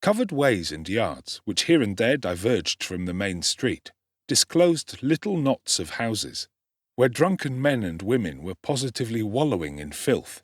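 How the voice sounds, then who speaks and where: A man's voice: lowish voice, very animated, measured pace, no room sound. A man giving a dramatic narration of a classic novel as an audiobook actor.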